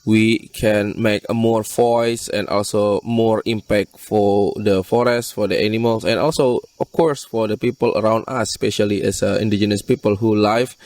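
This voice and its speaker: A man from Borneo with an Indonesian accent, giving earnest, halting interview answers in non-native English. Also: lowish voice, a little mellow, no echo, far from the mic. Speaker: conservation group leader being interviewed.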